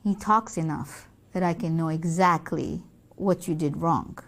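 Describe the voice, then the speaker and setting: Storybook mom female performer: gentle yet firm, patient reasoning, measured and coaxing delivery. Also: medium pitch, expressive, voice pushed down, lightly acted. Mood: suspicious. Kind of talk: acted children's story dialogue.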